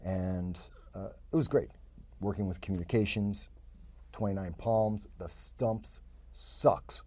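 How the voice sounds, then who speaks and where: Fifties New Yorker, interview guest, husky and loose, full of restarts. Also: male voice, low voice, slow, dark tone. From the Bronx, New York. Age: 50s. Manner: rambling, frequent fillers and restarts. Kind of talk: casual interview talk.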